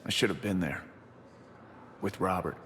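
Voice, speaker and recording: An actor playing a grieving, loyal military pilot in a sci-fi game, reflective, subdued and sincere. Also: male voice, deep voice, normal speed, a bit bright, big-room sound. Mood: sincere, heavy-hearted. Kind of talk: video game voice acting.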